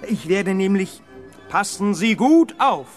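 High-pitched, boastful fairground magician. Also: male voice, animated, takes it slowly, soft background music. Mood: grandly mysterious. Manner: theatrical showman patter. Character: showy fairground magician, jovial and boastful adult man. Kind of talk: 1970s German children's radio play acting.

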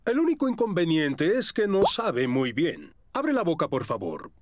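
A man voicing a kindly, reassuring bear doctor in a children's cartoon, very expressive. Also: on the high side, moderate pace, no room sound. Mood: kindly, upbeat.

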